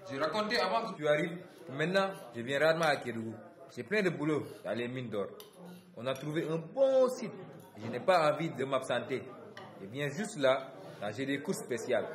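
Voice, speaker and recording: An actor playing a busy, proud and brash young gold miner in a radio drama, talking in an animated, slangy way. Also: male voice, mid-range voice, moderate pace, roomy sound, lightly acted. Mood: hurried, matter-of-fact.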